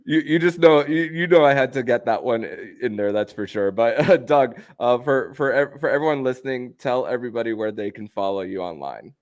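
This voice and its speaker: A man hosting a sports podcast in a conversational way, sometimes halting and stammering as he restarts his phrases. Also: medium pitch, expressive, dry recording, clean studio sound.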